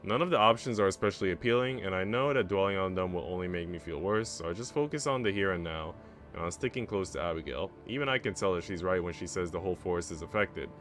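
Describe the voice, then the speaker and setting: A man's voice: sits low, quick pace, fairly bright tone, soft background music. A man reading a visual novel aloud as a streamer, narrating the story in the first person.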